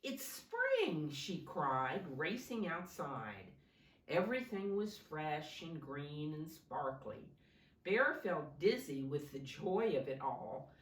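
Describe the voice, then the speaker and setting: A woman's voice: deep voice, sing-song, slow pace. A woman preschool teacher reading a story aloud to young children, explaining in simple words and asking them questions. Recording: echoey room, slightly distant microphone.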